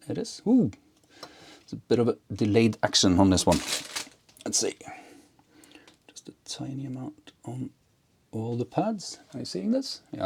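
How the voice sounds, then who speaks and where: A man's voice: mid-range voice, creaky, darkish tone, slightly rough. A man giving a casual hands-on demonstration, thinking aloud hesitantly as he works. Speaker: host of an electronics and ham radio build video.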